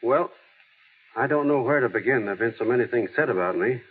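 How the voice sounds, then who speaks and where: A man's voice: mid-range voice, lively intonation, fairly quick, husky. An actor playing an earnest, plainspoken small-town American man in old radio drama style, folksy and a little hesitant. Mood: mild, wry. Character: earnest small-town everyman, plainspoken, gently wry, heir to a fortune. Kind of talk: 1930s-40s American radio drama acting. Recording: tinny, hissy old radio broadcast.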